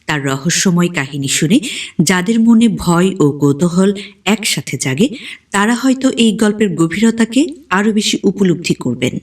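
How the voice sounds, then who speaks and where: A woman's voice: lowish voice, lively intonation, measured pace, recorded from a distance. A woman presenting a scripted introduction to an audio drama in a formal style.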